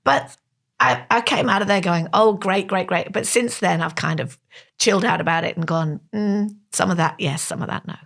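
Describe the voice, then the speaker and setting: A woman's voice: on the low side, moderate pace, creaky, a bit bright. A woman co-hosting a podcast, musing aloud in a chatty way and often correcting herself mid-sentence. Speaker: podcast co-host. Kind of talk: casual podcast chat.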